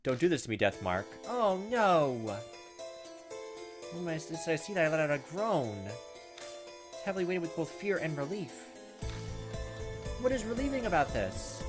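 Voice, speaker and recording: A man's voice: fairly high pitch, very animated, moderate pace, long pauses, over music. A man hosting a gaming video, reading on-screen story text aloud dramatically and breaking in with playful asides. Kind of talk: let's play commentary, reading game text aloud.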